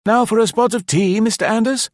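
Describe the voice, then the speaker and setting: Audiobook role performed by a man: hearty British host, jaunty and clipped, voice lifted high above natural register. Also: a little fast, dry recording, clean studio sound, lightly acted. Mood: pleasant, obliging. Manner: jaunty, effusively polite, clipped phrases. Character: cheerful, fussy, hearty British male guesthouse host. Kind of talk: audiobook character voice.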